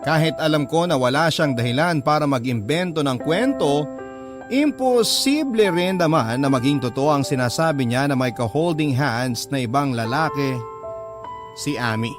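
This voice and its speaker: A man's voice: a little high, moderate pace, music in the background, lightly acted. An actor playing a hopeful, lovestruck young man narrating his courtship in a Filipino radio drama, confiding and reflective, with a Filipino accent. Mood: wistful, hopeful. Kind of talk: scripted radio narration of a letter.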